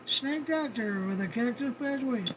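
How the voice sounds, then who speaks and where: A man's voice: very high voice, expressive, a little slow. Male CB operator, folksy and clipped, running the channel with call signs and slang, over noticeable radio noise. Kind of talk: CB radio chat with trucker slang. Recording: noisy radio static and ambience.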